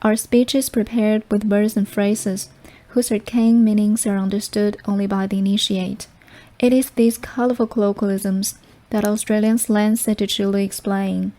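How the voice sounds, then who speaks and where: A woman's voice: mid-range voice, level tone, normal speed, warm tone. A woman reading a short practice text aloud from a script.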